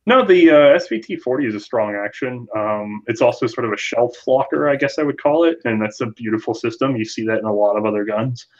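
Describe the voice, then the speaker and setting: A man's voice: medium pitch, a little fast, few pauses, highs cut off. A man giving conversational expert explanations as an interview guest, thinking aloud in long runs. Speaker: podcast guest, firearms history presenter.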